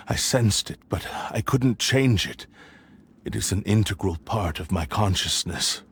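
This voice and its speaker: An actor playing a weary ancient sword spirit in human form in a video game, speaking in a slow, sorrowful, resigned way. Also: male voice, on the low side, lively intonation, gravelly, slight background noise, full character voice.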